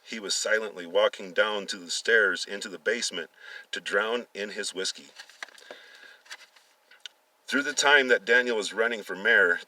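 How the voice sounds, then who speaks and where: A man reading a story aloud, halting at times as he stumbles and repeats words. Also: lowish voice, pushed and bright, recorded from a distance, low-quality recording. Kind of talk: dramatic story reading with acted dialogue.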